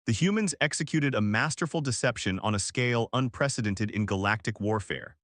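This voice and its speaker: A man's voice: mid-range voice, a little fast, a bit bright, no echo, studio quality, close to an everyday voice. A man reading as a grim, blunt alien admiral in a science fiction story, with formal, clipped military speech. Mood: grave, formal.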